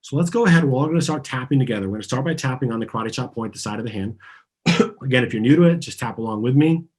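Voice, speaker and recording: Husky-voiced man coaching tapping. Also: medium pitch, animated, rapid-fire, a little mellow. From born in Argentina, raised in the US. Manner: earnest, guiding, has listeners repeat after him. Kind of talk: guided group tapping session.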